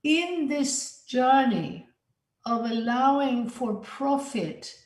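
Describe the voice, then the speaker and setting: A woman from Sweden speaks at a conference in an earnest, deliberate way, pleading her case. Her voice sits a little high and she takes her time over every phrase. The sound rings around an echoey hall. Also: expressive. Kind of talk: prepared conference talk.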